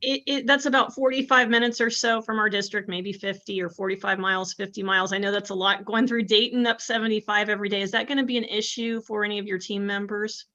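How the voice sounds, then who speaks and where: A woman's voice: mid-range voice, fairly even intonation, fast talker, few pauses, bright, forceful tone. A woman on a school board, politely and directly asking a contractor questions. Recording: slightly dull lo-fi audio.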